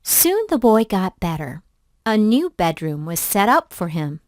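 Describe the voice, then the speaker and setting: Woman, children's storyteller, slow pace, lively pitch, dry close sound, expressive. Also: dry recording. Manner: expressive, deliberate storybook delivery. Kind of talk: children's story read aloud.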